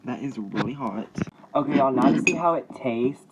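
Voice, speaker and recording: A man's voice: mid-range voice, normal speed. A young man chatting casually and playfully as he vlogs his cooking in a home kitchen, recorded on a phone at arm's length. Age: young adult. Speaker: vlogger. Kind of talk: casual cooking vlog chat. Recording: clattering dishes and clicks, noisy kitchen.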